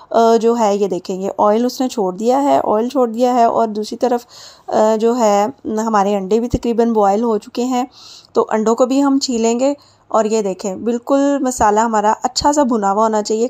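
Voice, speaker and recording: A woman narrates home cooking in a soft-edged, mellow voice that sits a little high. She talks casually and chattily, guiding the listener through steps like a channel host. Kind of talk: casual recipe voice-over.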